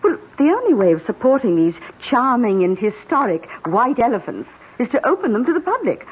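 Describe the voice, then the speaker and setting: Husky, breezy British aristocrat lady. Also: a little high, big pitch swings, fairly quick. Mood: breezy, wry. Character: British aristocratic woman, breezy, dry, gossipy and scornful. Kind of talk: 1970s radio drama acting. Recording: tinny old radio, music underneath.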